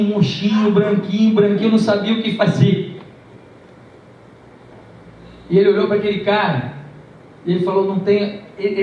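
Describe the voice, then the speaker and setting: A man's voice: extremely high pitch, slight background noise. A man preaching a sermon, telling stories emphatically with a shifting pace. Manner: storytelling, emphatic, varying pace. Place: large church hall. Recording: distant, echoey, muffled church recording.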